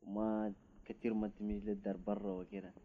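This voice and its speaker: Low dark-voiced guy answering questions, muffled lo-fi sound. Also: fairly even intonation, a little fast, gravelly. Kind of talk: casual conversation. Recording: dull lo-fi recording with faint noise.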